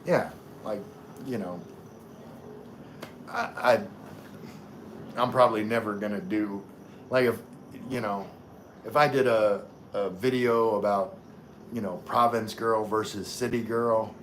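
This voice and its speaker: A very deep-voiced man from Louisiana, chatting loosely with his live audience and answering comments as they come in. He talks in a relaxed, meandering way, full of "uh" and "you know". A faint hum sits under his voice. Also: takes it slowly, pauses often. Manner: relaxed, rambling, many fillers and restarts. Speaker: livestream host reading chat. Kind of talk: casual live chat.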